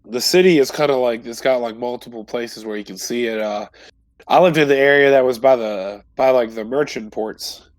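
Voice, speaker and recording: Male tabletop player, mid-pitched, casual and halting, repeats words, dry close sound. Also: dry recording. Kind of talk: casual in-character roleplay chat.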